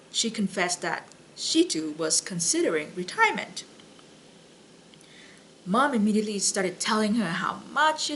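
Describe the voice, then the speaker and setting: Slow, bright-voiced woman telling jokes casually. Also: mid-range voice, a little hiss or hum, poor audio. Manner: halting, chatty, with false starts and slips. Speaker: library story and joke reader. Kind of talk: casual read-aloud of jokes with personal chat.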